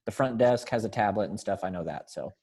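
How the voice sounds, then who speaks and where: A man's voice: on the low side, animated, fairly quick, darkish tone, husky. A man directing a readers theater group, talking informally and encouragingly as he organizes rehearsals on a video call.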